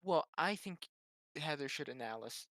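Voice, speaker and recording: A man's voice: fairly high pitch, lively intonation, moderate pace, sharp tone, dry recording. Male tabletop player, dry close sound. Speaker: player in a tabletop roleplaying game. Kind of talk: casual game chat.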